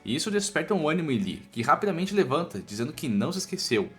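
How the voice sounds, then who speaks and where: A man's voice: on the high side, big pitch swings, fast, a bit bright. A man with a Brazilian accent narrating a scripted story recap in an informal, slangy, irreverent way. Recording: music bed under the voice. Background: background music.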